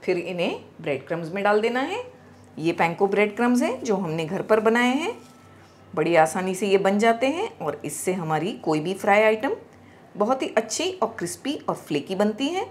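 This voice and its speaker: A middle-aged woman talks through a home recipe, guiding the listener from one step to the next. Her voice rises and falls a lot, and she explains things patiently and clearly. She speaks into a small clip-on mic in a home kitchen. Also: mid-range voice, moderate pace, slight background noise. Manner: calm, instructive, gently explaining. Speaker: cooking channel host.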